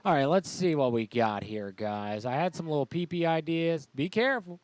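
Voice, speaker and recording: A man's voice: a little high, expressive, normal speed, dry recording. A man casually bantering as host of a live prank call show, with crude, slangy joking.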